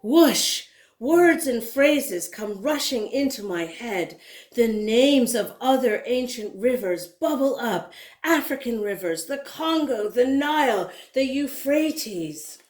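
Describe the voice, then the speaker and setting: A woman reading a children's picture book aloud in a dramatic, deliberate way, drawing out words and leaning on repeated phrases. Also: on the high side, a little mellow. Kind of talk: expressive storybook read-aloud. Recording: roomy, echoing indoor sound.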